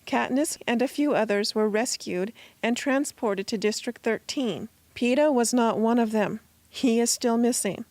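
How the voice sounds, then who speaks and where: A woman's voice: a little high, unhurried, a little mellow, no room sound. A woman giving scripted story narration as the narrator of a radio drama.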